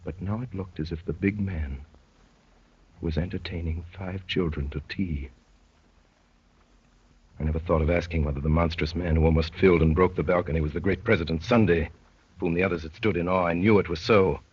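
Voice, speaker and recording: An actor playing a tense undercover spy, narrating ominously in the style of 1930s radio drama. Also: male voice, sits low, steady tone, fairly quick, husky. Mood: grave, uneasy. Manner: dramatic, literary, ominous storytelling. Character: tense undercover spy recounting a sinister gathering. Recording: muffled vintage 1930s radio recording.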